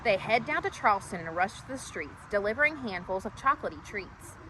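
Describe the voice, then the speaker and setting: A woman storyteller reading a rhyming children's story aloud in an animated style. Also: mid-range voice, a little fast, background noise.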